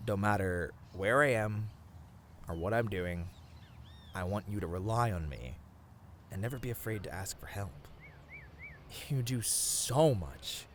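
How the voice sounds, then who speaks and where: A man playing a tender, sappy boyfriend in a romantic ASMR roleplay, speaking softly and earnestly to the listener with small hesitant stammers. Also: lowish voice, slight background noise. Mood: sincere, devoted. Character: tender, sappy boyfriend confessing love on a picnic.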